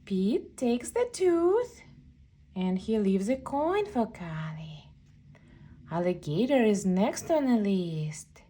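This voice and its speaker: A woman narrates a picture book for small children with a highly animated, swooping melody. Her pace is extremely slow and deliberate, and her tone is soft and mellow. She sounds like an expressive storyteller reading aloud. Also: a little hiss or hum. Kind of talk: read-aloud storybook narration for young kids.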